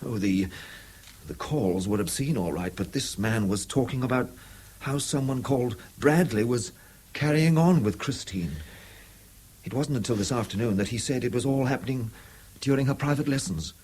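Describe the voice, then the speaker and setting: Actor as a husky, anxious English family man. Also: mid-range voice, moderate pace, darkish tone, lightly acted. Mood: distressed. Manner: hesitant, deferential, repeats words. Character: anxious, apologetic middle-aged family man, timid but persistent. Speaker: actor in a radio play. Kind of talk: 1970s British radio drama acting.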